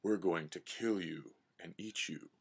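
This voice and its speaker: Storybook wolf, told by a man: sly, quietly threatening, low-pitched. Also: unhurried, gravelly, breathy edge, heavily acted. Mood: sly menace. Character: sly menacing pair of wolves.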